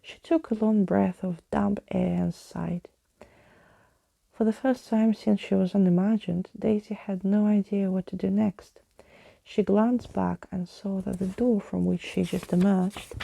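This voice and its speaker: A woman reads a bedtime story in a soft ASMR style. Her voice is heavily creaky and very mellow, with a breathy husk, recorded close and dry. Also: medium pitch, no room sound.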